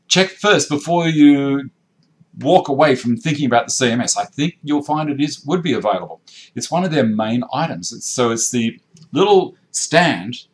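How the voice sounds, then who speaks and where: An Australian man in his fifties hosting a live stream from his workshop, reading out viewer comments in a chatty, gesturing way with frequent restarts, recorded on a webcam at desk distance. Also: mid-range voice. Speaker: woodworking channel host. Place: indoor workshop with tool racks.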